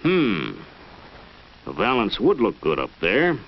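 Middle-aged American dad, flustered and plain-spoken, slow swooping delivery, old educational film. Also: male voice, lowish voice, huge pitch swings, heavily acted. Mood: musing. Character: flustered, plain-spoken family man puzzling over words. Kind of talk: 1940s educational film acting. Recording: muffled 1940s film soundtrack.

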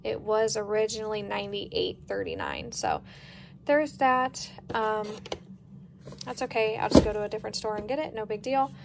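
A woman's voice: mid-range voice, very animated, normal speed, gravelly. An American woman talking casually and plainly as a home vlogger, easygoing, recorded on a handheld device in a kitchen. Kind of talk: casual grocery haul and meal plan narration. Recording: noticeable background noise, home kitchen.

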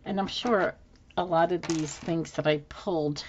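A woman hosting a crafting video at her desk, musing aloud to herself as she works, her voice a little hoarse. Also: sits low, steady tone, muffled recording, a little hiss or hum. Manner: unhurried, musing to herself with fillers. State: slightly hoarse, losing her voice. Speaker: planner decorating channel host. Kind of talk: casual thinking-aloud crafting narration. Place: indoors at a desk. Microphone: overhead camera, hands only.

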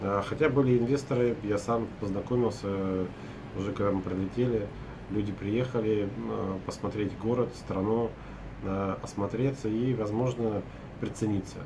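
A man's voice: lowish voice, fairly even intonation, moderate pace, background noise. A man in his forties from Russia, a property broker recapping his day to camera from a hotel room at night, talking hesitantly with many filler sounds and restarts.

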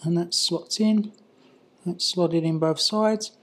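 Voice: medium pitch, steady tone, slow pace, fairly bright tone. A person giving an informal hands-on installation tutorial, muttering to themselves while working. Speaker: product installer and reviewer. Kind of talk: casual hands-on tutorial narration.

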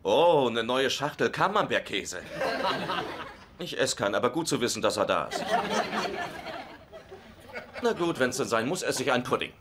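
Man acting food-loving sitcom husband, easygoing, very animated intonation, casual grumbling, noisy soundtrack. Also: mid-range voice, normal speed, long pauses, natural voice. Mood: playful. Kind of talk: dubbed sitcom dialogue.

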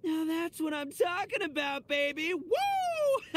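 A man's voice: very high voice, huge pitch swings, slow pace, fairly bright tone, heavily acted. A man voicing a brash, boisterous young oni gang boss in a video game, cocky and theatrical with slangy showboating. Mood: exuberant, cocky. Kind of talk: animated video game character voice lines.

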